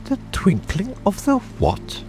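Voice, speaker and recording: A man playing an irritable, pompous king who gives sharp, threatening commands in an audiobook dramatization. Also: high-pitched, wildly swooping pitch, takes it slowly, faint music behind, heavily acted. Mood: angry. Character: imperious, irritable king presiding as judge, threatening.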